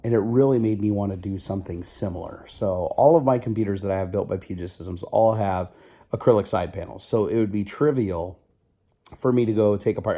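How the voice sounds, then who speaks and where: Middle-aged guy rambling on his vlog, deep mellow voice, still kinda hoarse and stuffy. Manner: rambling, self-mocking, loud. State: slightly rough, recovering voice; stuffy nose. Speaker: vlogger. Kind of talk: casual video diary.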